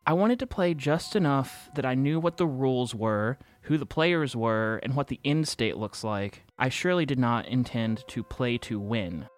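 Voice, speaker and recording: Audio drama lead: male actor as a sardonic, guarded young guy, mid-pitched, confessional, music underneath. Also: lightly acted. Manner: dry, self-deprecating, sardonic. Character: wry, guarded young man confessing about a secret online game. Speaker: narrator and protagonist of an audio fiction podcast. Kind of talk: first-person confessional podcast narration, conversational. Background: instrumental music.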